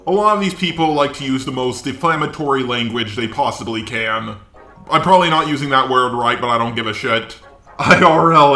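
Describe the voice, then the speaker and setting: A man's voice: mid-range voice, bright, forceful tone, reverberant. A man doing combative, profane online commentary with a sarcastic, exasperated way of talking. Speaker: YouTube commentator.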